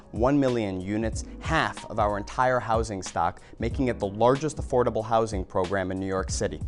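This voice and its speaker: Polished man delivering scripted advocacy. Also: fairly low pitch. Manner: polished, rhythmic lists. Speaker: nonprofit founder. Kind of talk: scripted advocacy speech to camera. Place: office room.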